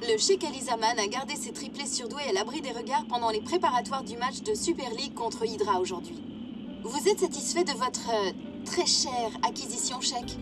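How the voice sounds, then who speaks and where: A woman's voice: a little high, lively intonation, normal speed, loud music behind the voice, natural voice, rough recording. A woman voicing a polished, showy female TV sports reporter in a French cartoon dub. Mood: inquisitive. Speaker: field reporter. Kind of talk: presenter patter in cartoon dub.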